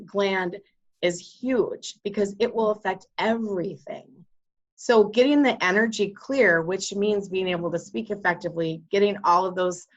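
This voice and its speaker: A woman hosting a wellness podcast and explaining energy healing in an earnest, conversational way. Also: mid-range voice. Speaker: podcast host and Reiki practitioner. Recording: dull lo-fi sound.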